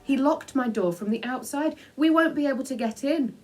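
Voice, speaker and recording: A woman's voice: high voice, moderate pace, darkish tone, far from the mic, soft background music, voice pushed up, natural voice. A woman voicing a young boy prince in a children's storybook, protesting and questioning in disbelief. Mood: worried. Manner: incredulous, protesting.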